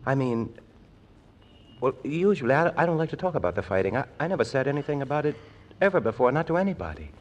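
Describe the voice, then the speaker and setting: An actor playing a troubled, shy young soldier in an old radio drama, speaking in a halting, self-effacing stammer. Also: male voice, mid-range voice, sing-song, close to an everyday voice. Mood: haunted, halting. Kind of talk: 1940s radio drama acting. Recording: noisy old radio recording.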